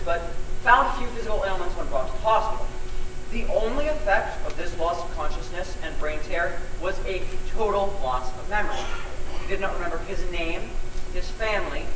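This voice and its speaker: A formal, blunt doctor played by a man in a stage play, with a high voice for a man. His delivery is measured and clinical. He is picked up from far off, with clear noise. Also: close to an everyday voice. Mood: clinical, sober. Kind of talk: one-act stage play acting. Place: indoor stage, recorded from far off. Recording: roomy, distant, noisy stage recording.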